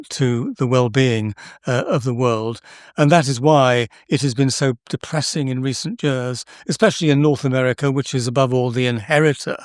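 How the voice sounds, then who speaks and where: A man from England speaking in a panel discussion about education, measured and emphatic, with hesitant restarts. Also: medium pitch, no echo, studio quality.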